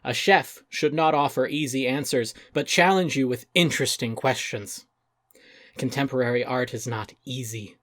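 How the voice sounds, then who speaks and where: A man's voice: mid-range voice, fairly bright tone, no room sound, studio quality, lightly acted. Man reading as a pompous, portentous avant-garde chef. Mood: grandiose, earnest. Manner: pompous, portentous, with dramatic pauses. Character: pompous, self-serious male avant-garde restaurant chef. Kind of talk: dramatic reading of an open letter.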